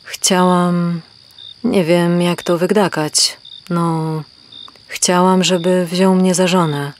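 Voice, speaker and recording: A woman's voice: on the low side, flat delivery, slow, slight background noise. A woman playing a naive, lovesick young hen in a children's audio play, meek and simple, tacking clucks onto her phrases.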